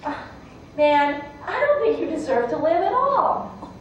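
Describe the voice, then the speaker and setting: Woman voicing an indignant, high-pitched, resentful sheepdog. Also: big pitch swings, unhurried, lo-fi sound, full character voice. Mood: indignant, scornful. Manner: sarcastic, indignant. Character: resentful, scornful, put-upon sheepdog. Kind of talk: live folktale storytelling. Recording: echoey room, distant mic, faint noise.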